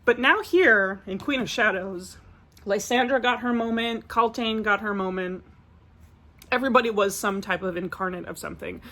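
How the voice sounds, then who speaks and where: A woman's voice: medium pitch, normal speed, fairly bright tone. Chatty woman vlogger getting over a cold. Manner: chatty, rambling, many filler "like"s. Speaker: book vlogger. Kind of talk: casual book review vlog.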